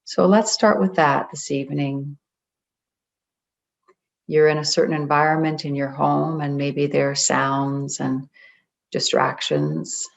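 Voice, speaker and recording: A meditation guide leading a group in a gentle, deliberate way, leaving long pauses between phrases. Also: medium pitch, steady tone, gravelly. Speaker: meditation guide, spiritual coach. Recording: dull, muffled lo-fi audio.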